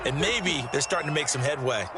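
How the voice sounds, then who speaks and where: Man acting as folksy higher-pitched American football analyst. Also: a little fast, a loud crowd, heavy background noise, close to an everyday voice. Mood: analytical, engaged. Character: folksy, analytical male football color commentator. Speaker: color commentator. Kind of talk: American football video game commentary, conversational analysis.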